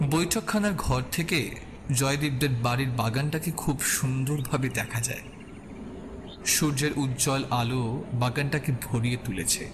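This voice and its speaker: A man's voice: fairly high pitch, noisy background. A man narrating a scripted suspense audio story as its storyteller.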